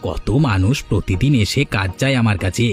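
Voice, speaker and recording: A man's voice: fairly low pitch, fairly quick, faint music behind, natural voice. A man voicing an arrogant, domineering village hotel owner in a cartoon, boastful and taunting. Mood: bossy scolding. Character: arrogant, money-proud village hotel owner, harsh boss. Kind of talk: Bengali village cartoon dialogue.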